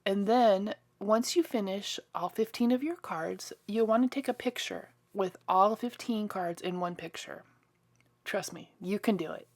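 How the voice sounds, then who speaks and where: Chatty woman, slightly hoarse and stuffy. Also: medium pitch, unhurried, a little mellow. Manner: chatty and enthusiastic. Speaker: card-making crafter. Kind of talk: casual crafting tutorial voice-over.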